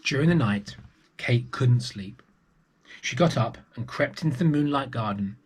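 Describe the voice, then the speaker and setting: A man's voice: mid-range voice, expressive, measured pace, husky. A man reading a picture book to young children expressively, with chatty asides to the listeners. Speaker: storybook reader for early years children.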